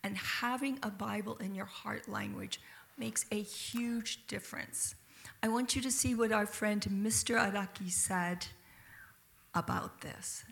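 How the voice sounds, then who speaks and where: A woman reading a scripted narration in a soft, measured way. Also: medium pitch, sing-song, vocal fry.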